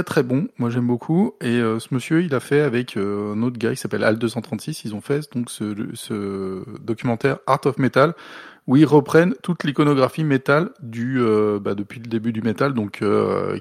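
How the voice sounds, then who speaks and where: A man co-hosts a casual music podcast. His medium-pitched voice has a gravelly fry and sits in a dry space. He talks colloquially, with a joking streak. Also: moderate pace, a little mellow, no room sound. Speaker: podcast co-host.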